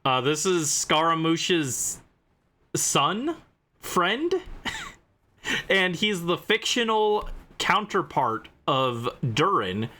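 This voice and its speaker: A man livestreaming a video game, giving casual commentary and halting explanations as he reacts aloud. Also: on the high side, very animated, sharp tone.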